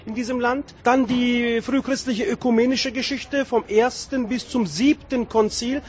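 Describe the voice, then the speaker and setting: A man's voice: extremely high pitch, steady tone, a little slow, slight background noise. A man working as a tour guide, explaining history on site. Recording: dull lo-fi radio sound.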